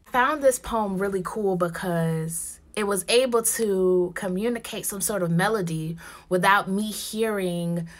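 A woman's voice: mid-range voice, a little slow. Young woman from Ghana teaching poetry. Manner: expressive, addresses students directly and casually. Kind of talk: recorded online poetry lesson. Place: small room indoors at home. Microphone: phone camera at arm's length.

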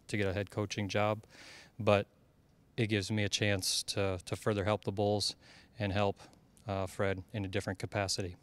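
A man's voice: on the low side, clear, resonant tone. A man in his thirties from Iowa, a basketball head coach answering interview questions earnestly, with many fillers and restarts, into a handheld mic at an indoor media backdrop. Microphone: handheld mic held by interviewer.

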